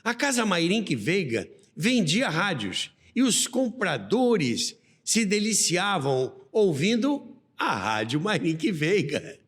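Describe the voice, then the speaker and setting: A man with a Brazilian accent hosting a podcast, telling history in an expressive, didactic, conversational way. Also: sits high, takes it slowly, slightly rough. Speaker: podcast host. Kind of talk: conversational storytelling about radio history. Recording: long reverberant hall-like echo.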